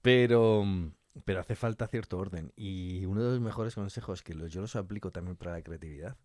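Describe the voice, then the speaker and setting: Male, lowish voice, conversational interviewee who repeats for emphasis, dry studio recording. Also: a little fast, a little mellow, dry recording. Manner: informal, joking, repeats himself for emphasis. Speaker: interview guest, audio fiction scriptwriter.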